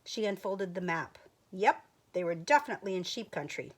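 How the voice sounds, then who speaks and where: Woman storyteller, playful kids' read-aloud, very lively intonation, mid pitch. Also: normal speed, slightly rough. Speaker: storyteller teacher. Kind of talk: reading a picture book aloud to children.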